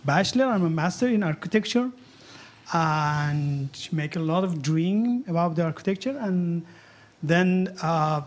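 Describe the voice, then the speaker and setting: Soft-spoken, halting man guest speaker. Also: fairly high pitch, lively intonation. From Afghanistan. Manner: hesitant non-native English with many fillers. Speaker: cartoonist guest. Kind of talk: live stage interview. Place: event hall on stage. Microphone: handheld mic held loosely.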